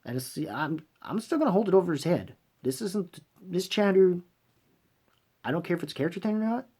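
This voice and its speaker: A man hosting a fan review channel, giving unscripted, opinionated commentary in a halting, emphatic, blunt way, often rewording his phrases. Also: sits high, animated, warm tone, husky. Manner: blunt, swears freely.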